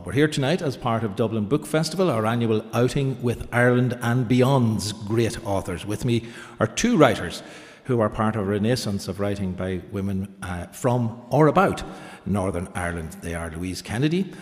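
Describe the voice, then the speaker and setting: Low-voiced male host in a stone hall. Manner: eloquent, with occasional stumbles. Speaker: host of a book festival event. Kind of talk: formal introductions and questions. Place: large marbled sculpture hall in a gallery. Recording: long reverb of a large stone hall.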